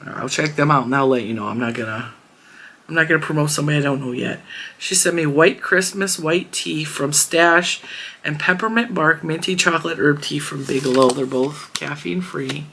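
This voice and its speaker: A woman hosting a crafting channel, chatting casually to her viewers in a hoarse voice, rambling and warm. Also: deep voice, normal speed, slight background noise. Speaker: crochet channel host. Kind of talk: casual chat to camera.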